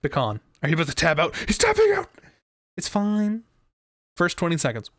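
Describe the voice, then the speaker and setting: A man's voice: on the high side, wildly swooping pitch, moderate pace, a bit bright, no room sound, studio quality. Joking man streaming a game. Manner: joking, laughs often. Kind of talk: let's play commentary.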